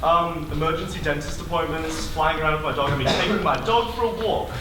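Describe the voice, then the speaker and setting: In a very echoey, distant hall recording, a man acts a boastful superhero posing as a reporter. The high-pitched voice stammers and backtracks through broad stage comedy, vain and bumbling throughout. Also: fast talker, close to an everyday voice. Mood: boastful, flustered. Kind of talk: broad comic stage acting. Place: live stage performance in a hall. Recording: echoey hall, distant camera mic, noisy.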